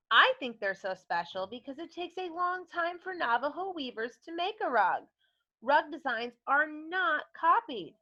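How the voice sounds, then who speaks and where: Bright, lively woman teaching young children. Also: high voice. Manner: explanatory, addressing young children directly. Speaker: elementary teacher. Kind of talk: asking and explaining comprehension questions to children. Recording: dull lo-fi recording.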